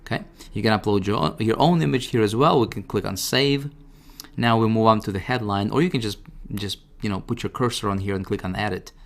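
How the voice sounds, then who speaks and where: A man presenting a casual, informal software tutorial, talking directly to the listener and often checking that they follow. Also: on the low side, fairly quick. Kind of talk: casual screen-share product walkthrough.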